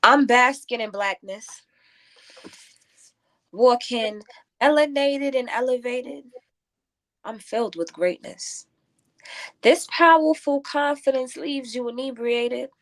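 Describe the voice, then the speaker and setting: A woman's voice: on the high side, a bit bright. A woman guest who is a spoken word poet, moving between casual talk and rhythmic, declamatory verse. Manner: declamatory, rhyming delivery when reciting.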